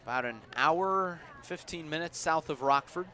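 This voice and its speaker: A man calling baseball live on the radio, measured between pitches and punchier on plays, as an announcer does. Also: sharp tone. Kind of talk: live radio sports commentary. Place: outdoor baseball ballpark. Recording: outdoor ballpark ambient noise.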